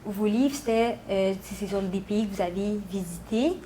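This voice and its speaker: A woman's voice: medium pitch, moderate pace, mellow, a little hiss or hum. A young woman with a Quebec French accent, chatting casually and informally, full of little filler phrases. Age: young adult. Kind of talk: casual interview chat.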